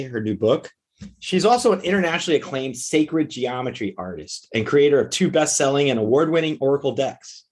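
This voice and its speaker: A man's voice: fairly high pitch, husky. A man hosting a radio talk show, introducing a guest in an upbeat, enthusiastic way. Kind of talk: interview hosting, reading an introduction.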